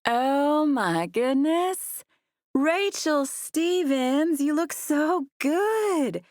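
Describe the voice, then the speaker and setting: A woman narrator voices an entitled young Southern debutante in a slow, sugary drawl, pitched high and sweet. She stretches each word out lazily and sounds quietly condescending. The storybook read is close and dry, with no room around it. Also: expressive, no echo, exaggerated voice. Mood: gushing.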